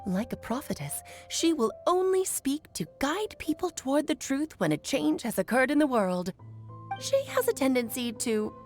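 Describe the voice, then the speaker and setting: A wise, playful woman mage who has lived for centuries in a fantasy video game. Her voice sits fairly high and moves in lively swoops, with theatrical pauses on key words, over soft music. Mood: mysterious, teasing. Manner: theatrical storytelling, unhurried emphatic pauses.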